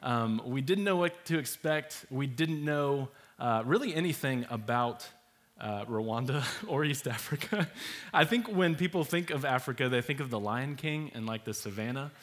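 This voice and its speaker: Young male host, relaxed and chatty while presenting slides. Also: mid-range voice, normal speed. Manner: relaxed, many fillers, laughs easily.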